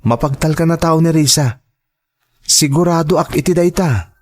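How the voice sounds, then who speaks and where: A man's voice: on the high side, normal speed, close to an everyday voice. A man with an Ilocano accent acting a trusting, devoted young son and partner in an audio drama, speaking earnestly and reassuringly.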